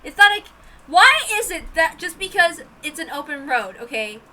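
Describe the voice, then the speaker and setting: A woman reading a visual novel aloud in an expressive, informal way, dramatic in narration and casual in asides. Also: very high voice, moderate pace.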